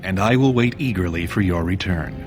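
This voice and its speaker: An actor playing a formal, measured courtier in scripted fantasy game dialogue. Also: male voice, sits low, soft background music, close to an everyday voice. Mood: politely scheming.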